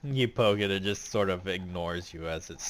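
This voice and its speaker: A man's voice: deep voice, moderate pace. A man narrating as game master of a tabletop roleplaying game, casual with fillers.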